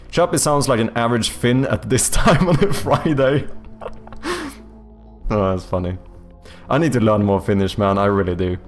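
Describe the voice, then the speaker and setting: A young man with a Swedish accent chatting loosely on a game development stream, swearing often and filling gaps with ums and likes. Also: lowish voice. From Sweden. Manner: loose and profane, full of fillers and restarts. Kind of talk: casual live-stream chat.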